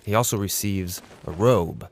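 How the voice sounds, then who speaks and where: A man's voice: low voice, unhurried, a little hiss or hum. A man co-hosting an educational video in a casual, plain-spoken, conversational narration style. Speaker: co-host of an educational Bible video series. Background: background music.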